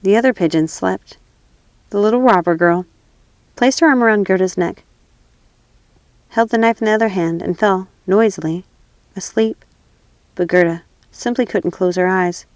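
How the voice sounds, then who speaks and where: A woman's voice: medium pitch, moderate pace, long pauses, a little mellow, dry recording. A woman narrating a classic fairy tale as a storybook reader.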